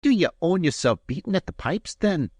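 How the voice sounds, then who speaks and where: A cool, proud Highland piper needles his rival with polite barbs, as a male reader puts him on. His voice sits well above the reader's deep natural tone, and the recording is dry and near. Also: very animated, normal speed, a little mellow, slightly rough, no echo. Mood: mocking. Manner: coolly courteous, needling.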